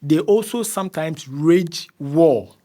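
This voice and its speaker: A man's voice: on the high side, takes it slowly, dark tone. A man from Ghana with a Ghanaian accent speaks as an interview guest, explaining conversationally and often repeating phrases as he searches for words.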